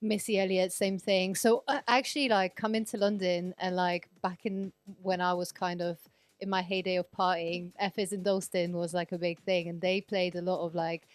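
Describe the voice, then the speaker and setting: A woman's voice: lowish voice, fairly even intonation, normal speed, clean tone, no echo. A woman in her thirties from Poland, raised in Sweden, talking as a guest DJ in a casual podcast interview, chatty and rambling, full of fillers and restarts.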